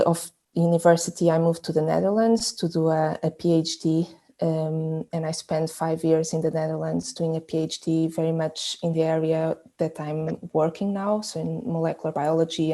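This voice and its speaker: A woman's voice: low-pitched, little pitch movement, moderate pace, warm tone. A woman from Portugal, a research group leader, explaining her work in an interview with frequent fillers and restarts. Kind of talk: interview answers.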